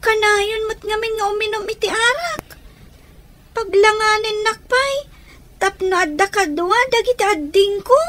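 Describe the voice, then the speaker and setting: A woman's voice: very high-pitched, unhurried, a bit bright, faint music behind. A woman playing a worried schoolgirl younger sister in a radio drama, whining and childlike. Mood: plaintive.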